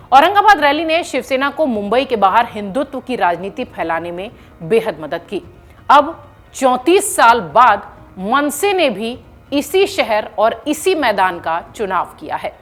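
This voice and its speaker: A woman news presenter narrating an explainer in an emphatic, explanatory manner, like a reporter walking viewers through a story. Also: on the high side.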